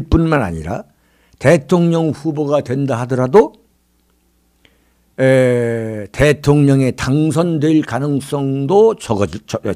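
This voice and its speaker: A man in his sixties hosts a political commentary monologue. His voice sits high and swings widely in pitch. He speaks like an orator, leaning on dramatic emphasis and posing rhetorical questions. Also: darkish tone. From South Korea. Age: 60s. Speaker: political commentary show host.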